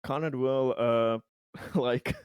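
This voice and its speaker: A man playing a tabletop roleplaying game, chatting casually in and out of character with a halting, word-repeating delivery. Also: mid-range voice, steady tone, a little mellow, smooth, no echo. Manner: halting, stammering, repeating words.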